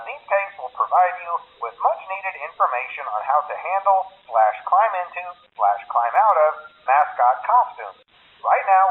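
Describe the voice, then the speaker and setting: An actor playing a blandly corporate pizzeria employee in a video game, reading recorded training messages with an awkward, deadpan manner through a telephone filter. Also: male voice, high-pitched. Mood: blandly instructional. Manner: hesitant openers, blandly corporate, unfazed by horrors. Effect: telephone or tape filter. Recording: tinny phone-like band-limited recording.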